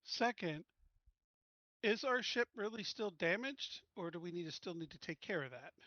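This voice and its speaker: Bright, higher-voiced man at game table. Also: animated, normal speed, no room sound, highs cut off. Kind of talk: casual game-table talk.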